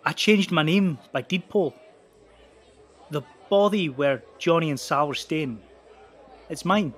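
An actor playing a laid-back, easily amused young slacker, talking informally and haltingly in an audio drama. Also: male voice, on the high side. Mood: casual. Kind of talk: audio drama testimony, casual.

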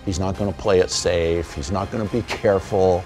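A man's voice: low-pitched, steady tone, moderate pace, darkish tone. A man talking casually and reflectively in a documentary interview. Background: music.

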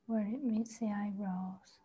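A woman leading a guided body meditation, speaking slowly and softly, often in a half whisper. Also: mid-range voice, steady tone, husky. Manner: slow, soft, partly whispered guidance. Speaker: meditation teacher. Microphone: video call.

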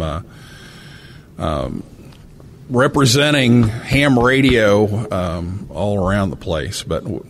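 A man hosting a live radio talk show from a hotel, talking in a halting way with many fillers and restarts. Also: on the low side, a little hiss or hum. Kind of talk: live call-in show banter. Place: hotel room during a convention.

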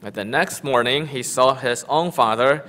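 A middle-aged man from Taiwan, a native Taiwanese speaker, interpreting a sermon into English at a church pulpit with halting, self-correcting phrasing. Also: medium pitch, sharp tone, poor audio. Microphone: lectern microphone.

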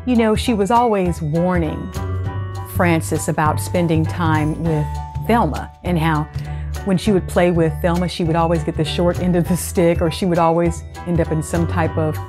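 A middle-aged woman hosting a read-aloud for children, speaking expressively and deliberately into a lapel mic indoors. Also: fairly low pitch, steady tone, over music. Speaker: children's storytime host.

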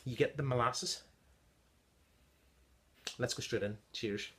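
A man in his thirties talks through a beer he brewed at home, in a relaxed, halting way with frequent ums. He is recorded indoors in a small room on a camera close in front of him. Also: medium pitch, a little hiss or hum. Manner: chatty, halting with many ums, tasting notes. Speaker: home-brew beer reviewer. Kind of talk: casual beer review vlog. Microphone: device camera at close range.

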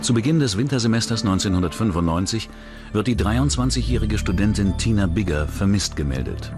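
A man's voice: on the low side, expressive, brisk. A man reading scripted narration for a true-crime documentary, with music playing behind him.

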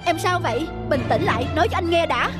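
A woman's voice: very high-pitched, lively intonation, quick pace, over loud music. A woman voicing a shifty young male motorbike-taxi driver, brusque and easily flustered, in a cartoon. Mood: flustered, anxious. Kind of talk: cartoon voice acting.

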